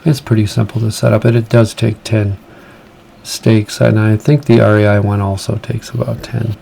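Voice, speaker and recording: Man, outdoor gear vlog, gravelly, relaxed rambling talk, windy backyard, wind noise on camera mic. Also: lowish voice, moderate pace. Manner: relaxed, rambling, chuckles often. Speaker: outdoor vlogger. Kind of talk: casual gear-testing vlog. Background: strong wind.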